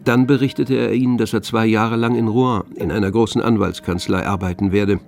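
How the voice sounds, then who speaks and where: Low-voiced, wry literary narrator. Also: male voice, fairly even intonation, fairly quick, vocal fry, a little mellow, faint music behind. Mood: detached. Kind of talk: scripted radio drama narration.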